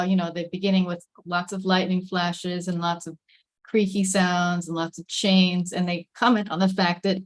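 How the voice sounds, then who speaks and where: Slightly low-voiced woman, explaining casually. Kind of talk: casual group discussion.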